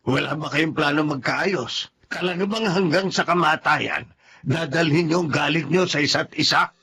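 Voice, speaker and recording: A man's voice: fairly high pitch, moderate pace, sharp tone. A man playing a weary, teasing older peacemaker in a drama, chiding in a wry, exasperated way.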